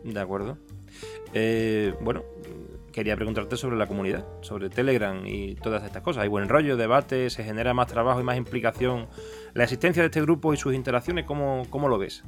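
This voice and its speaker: A man's voice: medium pitch, brisk, faint music behind. A man from southern Spain with an Andalusian accent, hosting a casual interview and putting questions conversationally. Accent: Andalusian Spanish. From Seville, Spain.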